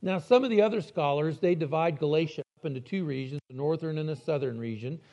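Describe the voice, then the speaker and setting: A man delivering a church sermon, slowing down to stress key words. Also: on the high side, mellow, highs cut off. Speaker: preacher. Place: church building.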